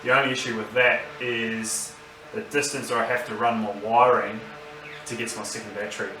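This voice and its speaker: A man with an Australian accent giving a casual, unscripted review, halting as he thinks aloud. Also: lowish voice, little pitch movement, pushed and bright, soft background music. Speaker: vlogger reviewing gear. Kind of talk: casual unboxing and review talk. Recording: roomy, distant mic, noisy background.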